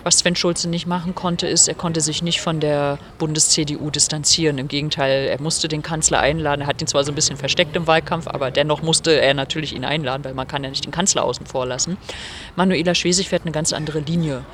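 A woman's voice: deep voice, fairly even intonation, a bit bright. Earnest woman author with faint street noise. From Germany, Rostock. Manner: earnest, explanatory, measured. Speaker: author interviewed as guest. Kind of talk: thoughtful interview answers. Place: venue in Rostock before a reading.

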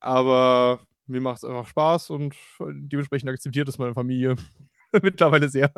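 A man's voice: medium pitch, fairly even intonation, normal speed, gravelly, clean tone, no room sound, studio quality. A man, the guitarist and songwriter of a band, answering interview questions casually with frequent fillers.

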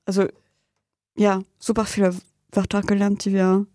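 A woman chatting casually, haltingly searching for words and repeating them. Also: medium pitch, monotone, mellow, dry recording, studio quality. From French-speaking country. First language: French. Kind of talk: casual studio chat. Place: dry radio studio.

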